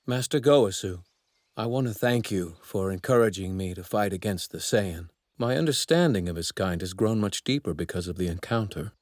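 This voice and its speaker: An actor in an English anime dub playing a haughty young divine apprentice with cold contempt for mortals, speaking in formal, pompous, precise phrases. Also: male voice, sits low, moderate pace, no room sound, clean studio sound, lightly acted. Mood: deferential.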